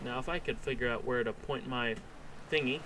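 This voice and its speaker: A man giving casual live commentary as a game streamer, with joking, off-the-cuff asides. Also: a little fast. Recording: loud rumbling background noise.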